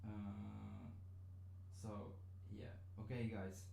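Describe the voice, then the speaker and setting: A man whose first language is Polish talks through a live-streamed lesson, stopping and restarting often with plenty of fillers. His voice is mellow and mid-pitched. The room is echoey, with faint noise behind him. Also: flat delivery, drawn-out words, gravelly. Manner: hesitant, many fillers and restarts. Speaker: online business trainer.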